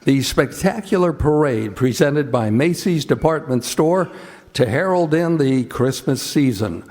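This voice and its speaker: A man announcing in the style of 1940s radio, with a measured, deliberate delivery, as the host of a show. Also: on the high side, lively intonation, darkish tone.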